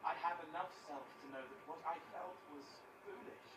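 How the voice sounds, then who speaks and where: A gentle, earnest young spirit from a video game, played by a man, speaks in plaintive, halting fragments. The voice is slightly high and sounds distant in an echoing space, with heavy noise behind it. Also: close to an everyday voice. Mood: hopeful wonder. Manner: hesitant, plaintive, speaks in short poetic fragments. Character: gentle young male spirit becoming human, earnest and wistful. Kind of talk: video game character acting. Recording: roomy, distant game capture, loud background noise.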